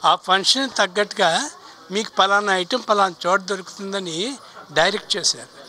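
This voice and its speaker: A man giving a spontaneous testimonial in an interview, halting and switching into English. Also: high-pitched, sharp tone.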